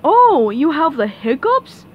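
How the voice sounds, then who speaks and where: A woman plays a dopey male starfish from a cartoon. He is earnest and oblivious, speaking slowly in an obtuse, matter-of-fact way. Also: high voice, sing-song, phone-quality sound, heavily acted. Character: dim-witted, earnest male starfish best friend. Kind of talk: hobby cartoon comic dub.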